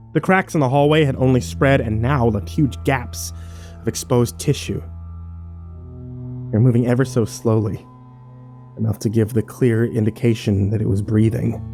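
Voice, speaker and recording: A man's voice: mid-range voice, darkish tone, close to an everyday voice. A man playing a shaken, bitter survivor who tells his own story in a grim horror audio drama. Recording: music bed under the voice.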